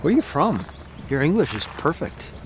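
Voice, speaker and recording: A clueless man, played by an actor in a comedy sketch, who pushes a stranger about where they are really from. His voice swings lively up and down as he stumbles through his questions with awkward uhs, and faint outdoor sounds come through behind him. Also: fairly high pitch, normal speed, a little hiss or hum, rough recording.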